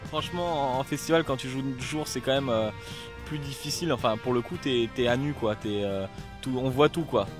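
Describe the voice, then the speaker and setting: A man's voice: medium pitch, fairly quick. A man from a band chatting loosely in a festival interview, rambling with many fillers and casual slang. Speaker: band member. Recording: music playing behind.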